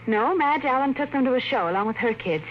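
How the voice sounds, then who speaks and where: A woman's voice: sits high, animated, quick pace, a little hiss or hum. An actress playing an uneasy suburban wife and mother, worried and insistent, in a 1950s radio drama. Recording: muffled old radio broadcast with music.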